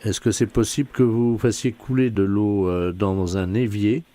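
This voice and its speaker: A man's voice: on the low side, steady tone, normal speed, dark tone, close to an everyday voice. A man playing a solemn, matter-of-fact submarine captain on a prank call, giving official-sounding orders with a straight face. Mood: polite, official. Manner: official, earnest, barking orders to crew. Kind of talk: deadpan telephone prank.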